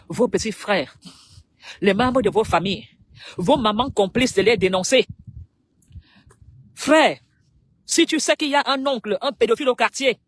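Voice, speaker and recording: A woman with a Cameroonian French accent making an impassioned public appeal, speaking emphatically in short phrases with dramatic pauses. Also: medium pitch, slight background noise.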